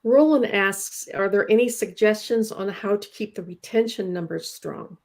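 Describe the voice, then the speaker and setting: Lady hosting a laid out casual interview, normal mid pitch, asking questions. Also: normal speed, a little mellow. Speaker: show host and comic creator.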